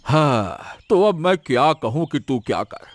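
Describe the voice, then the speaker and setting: An old village uncle played by a man in an audio story. The voice sits a little high for a man. The character is weary, scolding and short on sympathy, advising with exclamations. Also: sing-song, measured pace, no room sound, soft background music. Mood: dismissive. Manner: admonishing, exclamatory.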